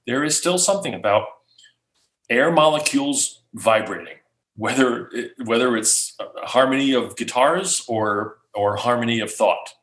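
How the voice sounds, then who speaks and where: A man, a guest speaker, answering questions casually in an animated way, restarting often. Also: mid-range voice, normal speed. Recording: roomy echo.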